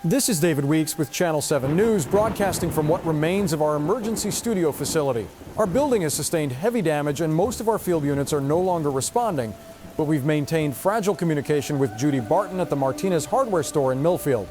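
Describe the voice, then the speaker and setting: A man's voice: fairly high pitch, expressive, fast, darkish tone, slight background noise, close to an everyday voice. A man playing a grave, formal news anchor in a scripted disaster newscast drama. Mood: solemn, strained. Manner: formal, solemn.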